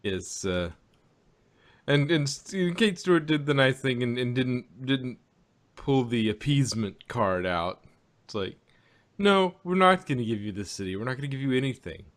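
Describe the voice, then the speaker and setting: Man chatting casually, wry and halting. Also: medium pitch, expressive. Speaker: podcast co-host reviewing audio dramas. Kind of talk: casual review chat.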